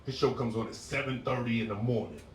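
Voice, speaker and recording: A man in his forties doing a stand-up set, telling stories in a laid-back way and breaking into loud shouted impressions. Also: medium pitch, quick pace, slight background noise. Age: 40s. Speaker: stand-up comedian. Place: comedy club stage. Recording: roomy club sound from a distance.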